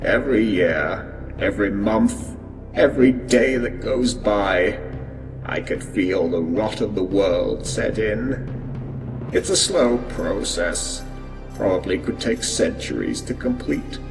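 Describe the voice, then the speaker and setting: An actor playing a mad, grief-stricken sorcerer, delivering brooding, theatrical monologues in an audio drama, bitterly resigned to doom. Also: male voice, fairly low pitch, animated, takes it slowly, vocal fry, exaggerated voice. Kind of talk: fan audio drama acting. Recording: echoey cavernous reverb with music.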